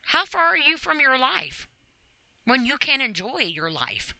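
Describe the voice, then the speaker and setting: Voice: high-pitched, sing-song, unhurried, vocal fry, bright, forceful tone, no room sound, rough recording. A podcast host reading a self-help list aloud with informal commentary, blunt, repeating phrases for emphasis and putting rhetorical questions straight to the listener.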